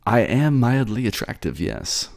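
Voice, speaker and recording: Man playing a suave, teasing fantasy prince, lilting pitch. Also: sing-song, a little slow. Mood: playful, doting. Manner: courtly, sardonic, gently mocking, old-fashioned turns of phrase. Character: suave, teasing male prince of sea people. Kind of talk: dramatic audio roleplay.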